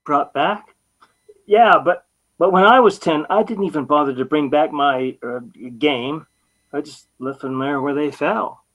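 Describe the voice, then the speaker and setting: A man's voice: on the high side, measured pace, a bit bright, highs cut off. An actor playing a bumbling, sheepish customer who stammers through his bluffs in an old-time radio comedy. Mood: boastful bluster. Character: flustered, bumbling male customer bluffing as big-game hunter.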